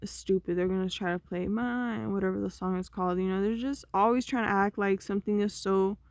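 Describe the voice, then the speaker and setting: A young woman vlogging, talking through her thoughts in a slow, meandering, conversational way that often trails off. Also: medium pitch, steady tone, a little mellow, no room sound, clean studio sound. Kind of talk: rambling personal monologue.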